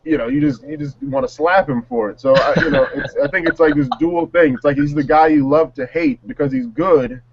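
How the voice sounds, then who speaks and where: A man in a casual panel discussion, talking in a measured, reflective way. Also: on the high side, highs cut off, background noise. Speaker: panelist on a music talk show.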